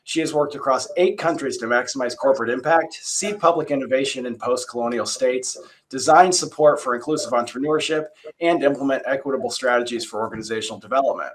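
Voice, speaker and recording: A man's voice: medium pitch, fast talker, rough recording. A man moderating a design panel, reading guest introductions and then chatting casually with many fillers and restarts.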